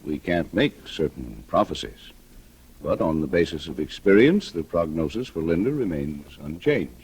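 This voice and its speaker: An actor playing a grave, kindly older doctor in a 1940s radio drama, speaking in a measured, paternal, formal way. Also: male voice, rumbling low, big pitch swings, darkish tone, breathy edge, heavily acted. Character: grave, kindly older male heart specialist.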